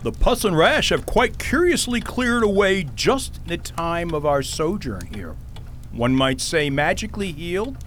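Eccentric wordy king male actor, higher register, grandiose fantasy radio theater style, noisy recording. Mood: quizzical, wordy. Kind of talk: fantasy radio drama acting.

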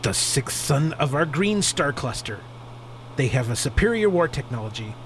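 A man's voice: medium pitch, big pitch swings, normal speed, slight background noise, natural voice, low-quality recording. A man playing a proud, formal alien warrior husband with stilted phrasing in a space opera radio drama. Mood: grim, threatening.

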